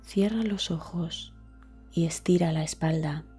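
A woman reads a scripted guided meditation as the guide, calmly and deliberately, with a soft, breathy voice and trailing words. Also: low-pitched, faint music behind.

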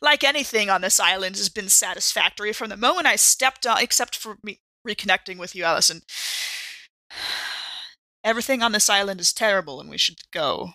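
A woman's voice: medium pitch, animated, brisk, sharp tone, no room sound. A woman playing a tipsy, exasperated investigator in an improvised horror roleplay, with a rambling, hesitant, wry delivery. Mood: sardonic, fed up. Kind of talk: improvised in-character actual-play roleplay.

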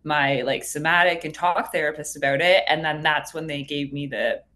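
Casting: female therapist as interview guest, low register, sincere and thoughtful, loose conversational delivery. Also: fairly even intonation, moderate pace, bright, forceful tone. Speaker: hypnotherapist guest.

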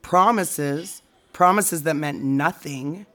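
A woman acting as a serious, reflective friend in a naturalistic audio drama, speaking in a measured, deliberate way. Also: low-pitched, fairly bright tone. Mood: grave.

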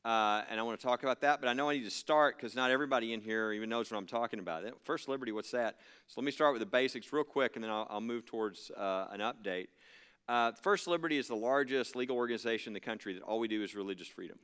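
A man's voice: mid-range voice, fast talker, a bit bright, smooth. A man from a religious freedom legal group giving an earnest, emphatic speech to an audience, with frequent fillers.

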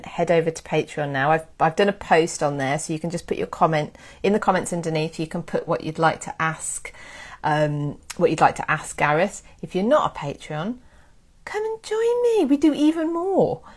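A woman hosting a casual history live stream, chatty and excitable, thanking viewers by name. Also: sits low. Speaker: history livestream host.

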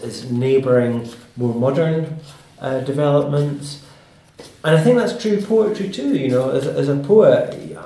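A man's voice: mid-range voice, mellow. A man speaking as an interviewer in a thoughtful, tentative, conversational way. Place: indoor room with some echo. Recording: roomy, echoing indoor sound.

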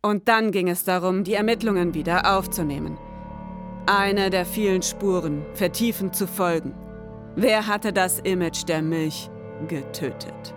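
A woman playing a pompous, gruff, world-weary noir detective, narrating a crime radio play in a dramatic, deliberate manner. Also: lowish voice, music in the background, lightly acted. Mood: brooding.

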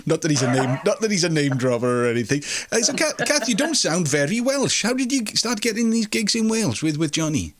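Brief: male host, higher voice, lively pitch, playful interviewer. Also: brisk, hardly any pauses. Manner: enthusiastic, jokey questioner. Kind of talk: casual interview chat.

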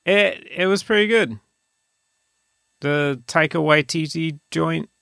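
A man co-hosting a podcast, chatting casually about movies in a hesitant, deadpan way. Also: fairly high pitch, takes it slowly, vocal fry, dry recording, studio quality. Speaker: podcast co-host.

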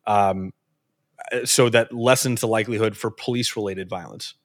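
A man answering interview questions in a quick, earnest way, often restarting his sentences. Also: lowish voice, steady tone, no room sound, clean studio sound. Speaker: interview guest.

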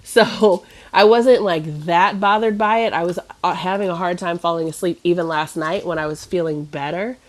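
A woman's voice: lowish voice, steady tone, moderate pace, few pauses. Stuffy-voiced woman in her thirties. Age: 30s. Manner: rambling, lots of fillers and restarts. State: stuffy, nasal from a cough. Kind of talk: casual chat.

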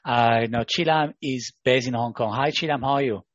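A man's voice: mid-range voice, normal speed, no echo, highs cut off. A man hosting a conversational interview, with loose phrasing that circles back on itself. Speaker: interview host.

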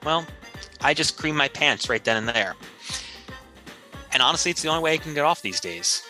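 A man's voice: a little high, lively intonation, moderate pace, bright, forceful tone, faint music behind. A man playing an earnest, rambling, washed-up small-town car fixer in a mock commercial appeal for money. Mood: shameless, earnest.

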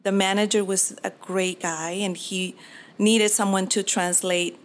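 A woman's voice: medium pitch, little pitch movement, unhurried. Earnest woman. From Peru. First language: Spanish. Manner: earnest, reflective, with restarts. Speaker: mortgage professional. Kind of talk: interview answers.

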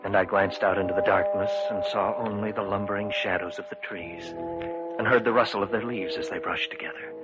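Actor as radio thriller lead and narrator: uneasy man, lowish voice, vintage muffled sound. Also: brisk, natural voice. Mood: grave, apprehensive. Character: uneasy man recounting his eerie encounter. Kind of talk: 1950s radio suspense narration and acting. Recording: muffled vintage radio, loud music behind.

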